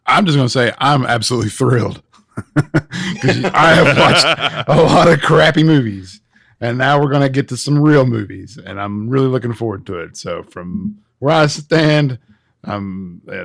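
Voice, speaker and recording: A man's voice: medium pitch, moderate pace, vocal fry, fairly bright tone, no echo, clean studio sound. A man chatting casually as a podcast panelist.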